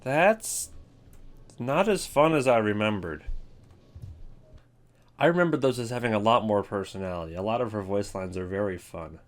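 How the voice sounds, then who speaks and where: A man's voice: fairly low pitch, normal speed, long pauses. A man giving offhand, opinionated casual commentary as a video creator ranking things.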